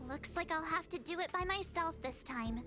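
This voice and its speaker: Plucky teen game heroine, very high voice, expressive, exclaiming, music underneath. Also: female voice, unhurried. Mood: resigned. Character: plucky, expressive teenage girl adventurer. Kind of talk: video game voice acting.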